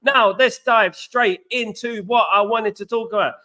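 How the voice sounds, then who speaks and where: A man's voice: sits high, fairly even intonation, a little slow, sharp tone. A man from England hosting a casual livestream, chatty and greeting viewers by name, often repeating himself. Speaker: host.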